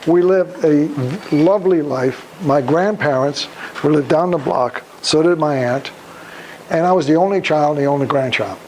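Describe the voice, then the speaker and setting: An elderly man from Poland giving a public talk, speaking deliberately in halting phrases and putting questions to his listeners. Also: fairly high pitch, darkish tone. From Krakow, Poland. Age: over 75. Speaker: guest speaker, Holocaust survivor. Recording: noisy live room recording.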